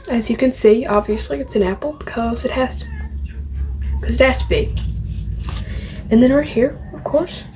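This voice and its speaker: Higher-voiced woman, slow rambling show-and-tell. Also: mellow, a little hiss or hum, rough recording. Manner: rambling, opinionated, repeats phrases. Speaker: vlogger showing a music collection. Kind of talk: casual show-and-tell to camera.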